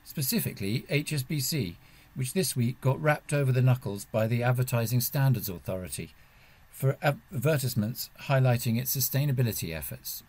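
Guy hosting a podcast, mid-pitched voice, introducing things like an editor. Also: expressive, moderate pace, a little mellow. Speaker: podcast host and finance editor. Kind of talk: podcast presenting.

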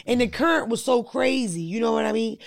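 Gravelly woman telling crude stories. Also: on the high side, a little fast, no room sound, clean studio sound. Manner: crude, blunt storytelling. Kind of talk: casual podcast chat.

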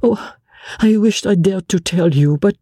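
A man voicing a distraught young noblewoman who pleads tearfully, halting and repeating herself. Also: high voice, warm tone, no room sound, studio quality, voice pushed up. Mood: pleading.

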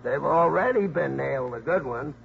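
An actor playing a contented, skeptical college coach in a 1950s radio drama, slangy and dry. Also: male voice, on the high side, animated, normal speed, gravelly. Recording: tinny 1950s radio broadcast.

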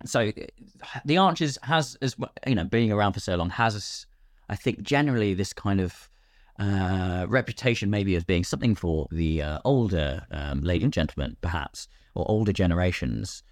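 A man speaking casually as an actor guest in an interview, explaining in an animated way and voicing imagined quotes. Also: deep voice, moderate pace, no echo, studio quality. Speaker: guest, radio drama actor.

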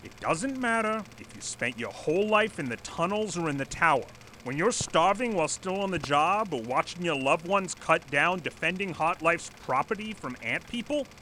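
An actor in an audio drama playing an earnest, stubborn former manager who lectures formally and pleads insistently. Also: male voice, fairly high pitch, expressive, normal speed, bright, forceful tone, a little hiss or hum, rough recording. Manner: formal, insistent, speech-making. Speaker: presenter at a company meeting. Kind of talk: audio drama acting, satirical dystopian comedy.

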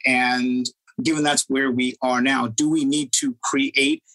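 Halting man from Trinidad. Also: mid-range voice, little pitch movement, sharp tone, poor audio. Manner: hesitant, many fillers. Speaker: journalist asking a question. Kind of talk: audience question at a debate.

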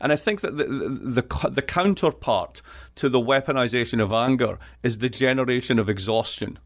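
A man's voice: medium pitch, moderate pace. A middle-aged man from Scotland with an east coast Scottish accent, talking as a guest economist in a witty, emphatic way, speaking from a home music studio. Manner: witty, emphatic, restarts often. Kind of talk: animated interview conversation.

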